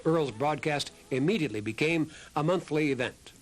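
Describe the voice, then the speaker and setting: A man's voice: fairly high pitch, moderate pace, a little hiss or hum. A man reading scripted documentary narration as the narrator of a history program.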